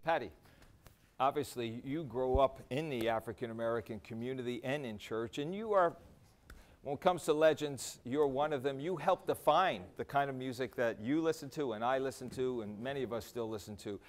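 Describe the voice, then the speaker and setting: A man's voice: mid-range voice, moderate pace. Encouraging male panel moderator. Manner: encouraging, frequent affirmations. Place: large formal hall indoors.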